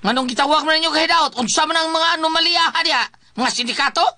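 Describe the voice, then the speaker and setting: A man's voice: extremely high pitch, fast talker, sharp tone, no room sound, heavily acted. A man playing a long-suffering, suspicious husband who complains and nags in a Filipino comedy radio drama. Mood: suspicious, aggrieved. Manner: complaining, nagging.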